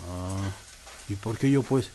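A man playing an irritable, defensive, stubborn father in a radio soap, with a gruff, brusque Bolivian manner of speech. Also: fairly low pitch, slow, mellow. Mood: defensive, irritated.

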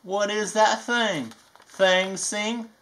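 A performer voicing a playful, folksy bayou alligator with a Southern US accent, reading a children's picture book aloud with drawn-out emphasis. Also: medium pitch, fairly even intonation, fairly bright tone, a little hiss or hum.

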